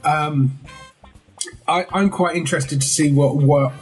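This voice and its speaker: A man's voice: a little high, steady tone, unhurried, faint music behind, low-quality recording. A man hosting a casual podcast chat, hesitant with many fillers and easy laughs. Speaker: podcast host.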